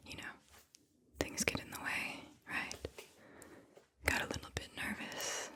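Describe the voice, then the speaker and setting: A woman doing soft-spoken, breathy ASMR, whispering in a soothing, intimate way as she talks and reads poetry, lingering on words. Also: mid-range voice. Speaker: ASMR host and poetry reader.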